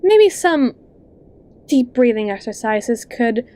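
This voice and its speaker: A woman plays a sly, possessive best friend in an ASMR roleplay. Her voice is fairly high and soft, and she takes her time over every phrase. She coaxes and talks down to the listener like a hypnotist easing someone under her control. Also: very animated. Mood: needling, manipulative. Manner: slow, coaxing, condescending, with lingering pauses.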